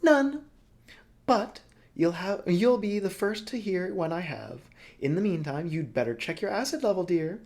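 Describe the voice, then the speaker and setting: Man putting on a high, campy, snide busybody. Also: expressive, measured pace, a little mellow. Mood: snide, exasperated. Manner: campy, exclamatory, mocking. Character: Broly, flamboyant, snide, teasing male city manager entity.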